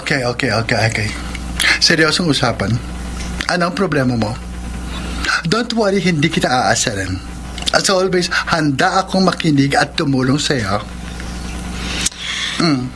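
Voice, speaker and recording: Flamboyant, lively young Filipino man. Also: a little high, normal speed, pauses often, background noise. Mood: reassuring. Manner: campy, playful, exclamatory. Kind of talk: Filipino radio drama acting.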